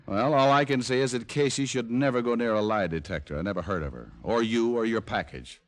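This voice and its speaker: An actor playing a cool, wisecracking American private detective in an old radio drama, wry and flippant. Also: male voice, medium pitch, brisk, no echo, close to an everyday voice. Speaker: lead actor and narrator of a detective series. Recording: old radio recording with music behind.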